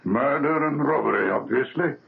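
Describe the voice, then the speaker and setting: An actor playing a matter-of-fact police inspector with clipped, businesslike speech in a 1970s radio drama. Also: male voice, medium pitch, normal speed, vocal fry. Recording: narrow phone-like old radio broadcast.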